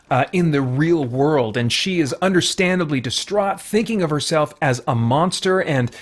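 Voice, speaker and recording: A man with a moderately high-pitched voice hosts a casual review show. He speaks off the cuff in a discursive way, giving frank personal opinions as he goes. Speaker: comics review show host. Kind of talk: casual unscripted comic book review.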